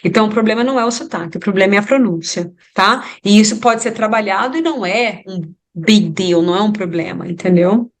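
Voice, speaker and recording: A woman's voice: mid-range voice, fairly quick, few pauses. A Brazilian woman with a Brazilian Portuguese accent, an English teacher giving advice in an interview, emphatic and repeating her points for emphasis.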